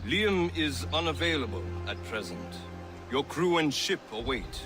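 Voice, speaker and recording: Formal, grave leader over loud music. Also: male voice, on the high side, big pitch swings. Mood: solemn, instructing. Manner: measured, formal. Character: formal, grave male leader of assassin order. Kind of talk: dramatic game cutscene acting.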